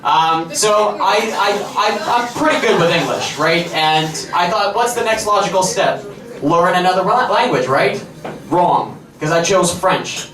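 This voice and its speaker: A young Russian man with a light Russian accent doing stand-up, telling stories in a deadpan way and breaking into shouted impressions. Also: high-pitched, measured pace, a crowd in the background. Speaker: stand-up comedian. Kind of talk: stand-up comedy set. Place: large comedy club stage with a laughing audience. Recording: roomy live hall, heard from far off.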